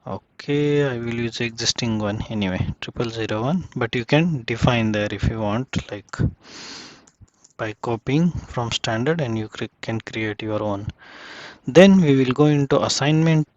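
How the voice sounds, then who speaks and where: Man walking through software steps, muffled recording. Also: mid-range voice, unhurried, no echo. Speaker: software tutorial instructor. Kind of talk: casual step-by-step screen demonstration.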